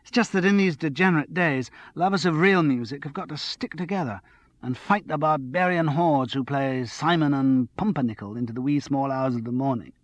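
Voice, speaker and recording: A man's voice: a little high, fairly quick, no room sound, highs cut off, pitched above the performer's own voice, natural voice. A man putting on a giddy, tipsy young woman. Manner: breathless, chattering, excitable.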